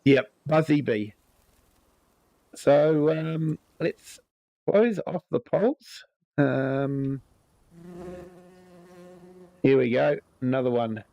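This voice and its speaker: A man with a New Zealand accent talks over a live game stream at an unhurried pace. He sounds relaxed and friendly, with plenty of ums, and his voice is a touch croaky from a recent cold. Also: medium pitch, no echo. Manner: relaxed, chatty, greets viewers by name, many ums. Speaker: livestream host. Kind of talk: live gameplay commentary.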